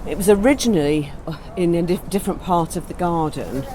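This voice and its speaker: A woman's voice: lowish voice, fairly quick, dark tone. A woman giving an informal guided tour as she walks outdoors. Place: outdoors, walking in a garden. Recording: outdoor field recording with ambient noise. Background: outdoor ambience.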